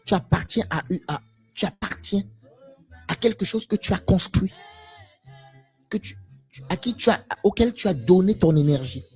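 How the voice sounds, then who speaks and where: A man's voice: high voice, animated, normal speed, pauses often, darkish tone, faint music behind. A man giving an impassioned live monologue to viewers, emphatic and preachy, repeating phrases and asking rhetorical questions. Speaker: live stream speaker.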